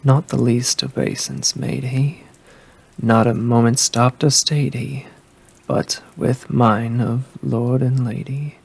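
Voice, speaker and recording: A man reading a poem as an ASMR creator, with a deep, gravelly voice and a slow, hushed delivery that slips into whispers. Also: animated. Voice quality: deep, gravelly voice with heavy fry. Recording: faint rain ambience behind the voice. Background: rain ambience.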